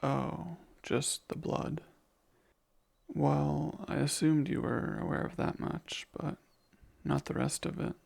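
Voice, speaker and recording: A man acts out a kind, haunted vampire in an intimate ASMR roleplay. He speaks slowly and tenderly, choosing formal words with care. The voice is deeply creaky, full of vocal fry, and hesitant with remorse. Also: steady tone, darkish tone, heavily acted. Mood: tender, uneasy. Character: kind, gentle, remorseful male vampire comforting someone. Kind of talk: ASMR audio roleplay, soft intimate monologue.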